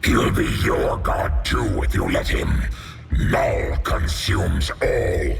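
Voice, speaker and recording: A man voicing a menacing alien symbiote monster for a video game, in a deep gravelly growl with layered monster processing. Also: sing-song, slow, background noise, exaggerated voice. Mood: ominous. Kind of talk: video game character acting. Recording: long reverb, music behind.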